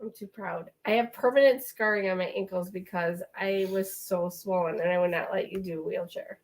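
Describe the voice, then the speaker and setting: A woman co-hosting a casual podcast chat, talking quickly with laughing asides while she is losing her voice. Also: medium pitch, fairly even intonation. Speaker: podcast co-host.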